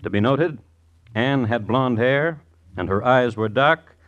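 A man hosting and narrating a vintage radio crime series in a formal, measured, dryly wry deadpan. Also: mid-range voice, muffled recording. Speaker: host and narrator.

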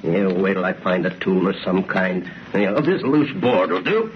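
A man's voice: low-pitched, expressive, moderate pace. An actor playing a stubborn, middle-aged father who scoffs at superstition, in a brash, emphatic old-time radio horror style. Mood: scornful. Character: stubborn, blustering skeptical father, scornful of superstition. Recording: dull, noisy vintage radio recording.

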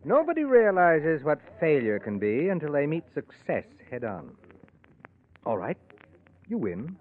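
A man's voice: on the high side, huge pitch swings, a little slow, exaggerated voice. A man playing a charming, cynical playwright in an old radio drama, urbane and wry in manner. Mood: bitter resignation. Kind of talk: 1940s-50s radio drama acting. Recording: old, narrow-band radio recording.

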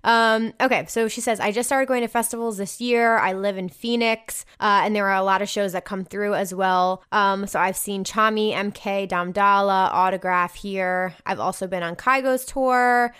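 A woman's voice: mid-range voice, fairly even intonation, normal speed, hardly any pauses, a bit bright, clean tone. A young woman with a New Jersey American accent, a podcast host reading listener emails aloud and reacting in an effusive, slangy, casual way with some swearing. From New Jersey, United States.

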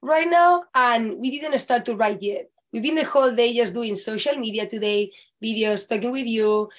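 A woman's voice: a little high, moderate pace. A young woman from Spain with a Spanish accent, a novelist guest on a podcast, speaking English cheerfully. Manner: cheerful, brief affirmations. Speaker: author guest.

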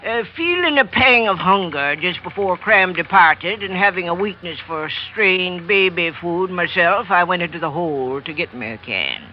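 An actor playing a wry, roguish Irish villain aboard ship, with a sly theatrical lilt, in old radio drama style. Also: male voice, high voice, lively intonation, normal speed, few pauses. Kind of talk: 1940s radio drama acting. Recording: dull, noisy old radio recording.

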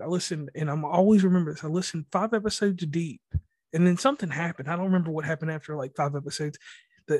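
A man's voice: fairly high pitch, a little mellow, no echo. A man hosting a casual podcast interview, rambling a little and restarting his sentences. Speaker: podcast host.

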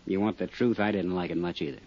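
A man's voice: sits low, steady tone, fast, darkish tone. A man playing a tough, cagey small-town roadhouse owner with an American accent in a 1950s radio drama, talking in defensive, streetwise slang. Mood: exasperated. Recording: dull 1950s radio recording.

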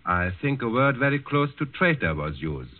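An actor playing a suave, menacing German Nazi district governor in a 1940s radio drama, with a clipped, condescending manner. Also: male voice, mid-range voice, sing-song, moderate pace, narrow, tinny audio, close to an everyday voice. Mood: menacing, probing. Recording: band-limited old 1940s radio recording.

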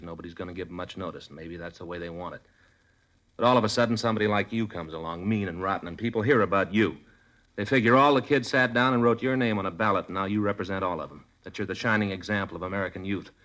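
A stern, fast-talking cop with a very deep voice. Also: male voice, fairly bright tone. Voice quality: blunt, stern. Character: hard-nosed male police detective, father of two. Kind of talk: 1950s police drama acting.

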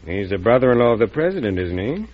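A scheming money man, husky, animated pitch, old dull-sounding radio play. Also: quick pace. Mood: smooth, scheming. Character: cool, calculating male financier, scheming businessman. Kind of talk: old-time radio drama acting. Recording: muffled vintage radio recording.

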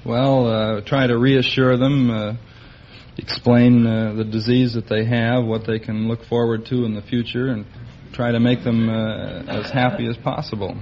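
A man's voice: mid-range voice, level tone, unhurried. A man answering as a quiz contestant in a hesitant, understated way. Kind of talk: unscripted interview answers. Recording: vintage radio, dull, faint noise.

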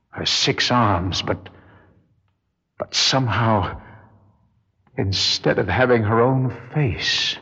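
A man's voice: medium pitch, bright, forceful tone, husky, exaggerated voice. An actor playing a nervy, guilt-ridden burglar who tells his crime in a hard-boiled radio drama style. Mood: uneasy. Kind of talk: radio drama acting with first-person noir narration. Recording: muffled vintage radio recording, music behind.

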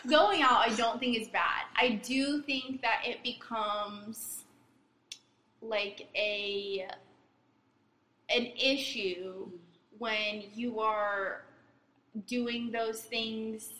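A woman's voice: a little high, fairly even intonation, extremely slow, bright, forceful tone. Reflective young woman, echoey room. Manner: reflective, gives advice with many "like"s. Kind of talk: casual chat. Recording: echoey room with faint noise.

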